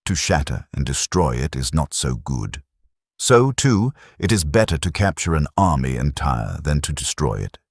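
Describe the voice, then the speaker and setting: A man reading a classic text as a scripted audiobook, in a measured, deliberate way. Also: a bass voice, sing-song, no room sound, studio quality. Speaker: audiobook narrator.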